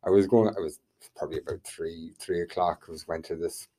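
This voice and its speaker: Low-pitched Irish man telling anecdotes. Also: steady tone, fairly quick, dark tone. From Ireland. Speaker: podcast co-host. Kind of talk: casual chat and anecdotes.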